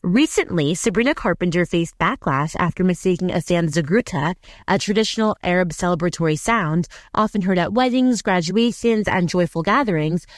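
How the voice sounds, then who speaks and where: A woman's voice: on the low side, expressive, moderate pace, dry recording, studio quality. A woman giving scripted, earnest narration for a short feature.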